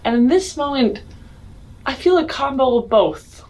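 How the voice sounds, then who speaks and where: A woman playing a tabletop roleplaying game, speaking casually in a deliberate, matter-of-fact way. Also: high voice, lively intonation, darkish tone, low-quality recording. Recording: background noise.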